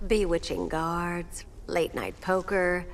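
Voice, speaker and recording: A woman's voice: lowish voice, level tone, slow pace, gravelly, noisy background. A woman playing a seductive, coldly taunting villainess devoted to plants in a video game cutscene, languid and mocking. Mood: sultry taunting. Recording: loud music behind the voice.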